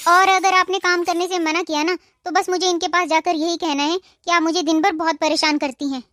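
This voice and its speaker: A woman playing a sharp-tongued, bossy young sister-in-law in a cartoon moral story, with a sarcastic, scolding manner. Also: very high voice, fairly even intonation, a little fast. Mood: threatening.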